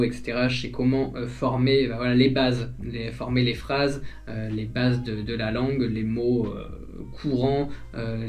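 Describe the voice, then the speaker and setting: A man's voice: mid-range voice, darkish tone. Hesitant French vlogger, man from the north. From northern France. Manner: hesitant, many fillers and restarts. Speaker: vlogger answering viewer questions. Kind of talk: casual chat.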